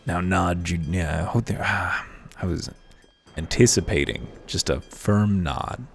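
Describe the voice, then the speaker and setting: A man's voice: deep voice, measured pace, vocal fry. A man hosting a game playthrough with casual, dry, ironic commentary over music. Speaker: host of a voiced game playthrough. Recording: music behind the voice.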